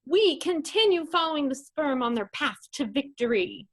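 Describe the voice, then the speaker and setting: Female comedy narrator, high and lively, mock-sweet, sassy, sarcastic scripted delivery. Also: normal speed, slight background noise. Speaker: comedy troupe performer, narrator.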